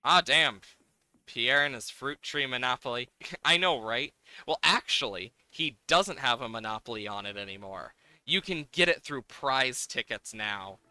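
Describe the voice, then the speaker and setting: A man live-streaming a game, chatting casually with viewers in an animated, exclamatory way and sometimes swearing. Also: mid-range voice, measured pace, dry recording.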